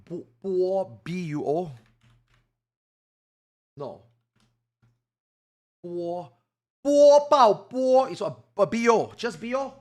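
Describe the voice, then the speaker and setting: A man's voice: high-pitched, expressive, very slow, long pauses. A man from Singapore with a Singaporean English accent, chatting casually and jokingly with his stream viewers and swearing freely. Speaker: live streamer.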